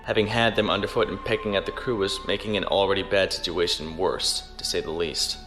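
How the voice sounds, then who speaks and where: Man playing a reserved, low-voiced space navy lieutenant. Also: fairly even intonation, over music, close to an everyday voice. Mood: formal, weary. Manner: formal, measured. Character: dutiful, reserved male imperial naval lieutenant. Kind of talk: audio drama acting, log narration.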